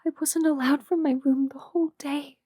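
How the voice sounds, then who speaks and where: A woman playing a young, proud but distraught queen in a historical romance audio roleplay, speaking in a halting, emotional way. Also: high-pitched, steady tone, dark tone, dry recording, clean studio sound, lightly acted. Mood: grieving, haunted.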